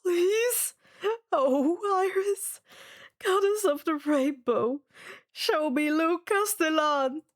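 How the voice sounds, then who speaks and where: A woman's voice: high-pitched, takes it slowly, voice pushed up. A woman voicing a distraught, loyal young girl in a story, stammering and pleading. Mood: desperate.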